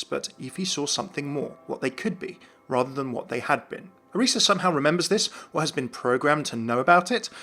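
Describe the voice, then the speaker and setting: A man's voice: mid-range voice, sharp tone. A man giving a scripted commentary on video game lore. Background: faint music.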